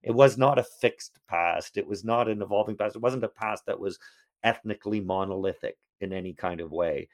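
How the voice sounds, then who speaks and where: A man's voice: lowish voice, brisk. A man, a history professor, answering interview questions in a thoughtful way with frequent restarts.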